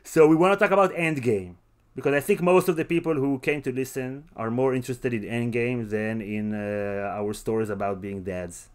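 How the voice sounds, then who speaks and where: A man's voice: medium pitch, normal speed, clean tone. A man hosting a casual podcast movie review, talking in an emphatic, enthusiastic way. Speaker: podcast host.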